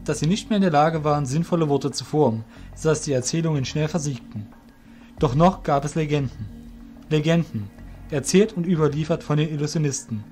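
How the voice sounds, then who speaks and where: A man's voice: on the high side, a little mellow. A man reading scripted fantasy story narration as the narrator of an audio drama.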